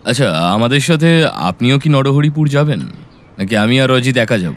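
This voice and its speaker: A man playing a calm, analytical private detective in an audio story, reasoning and questioning in a measured way. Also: mid-range voice, natural voice. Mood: probing.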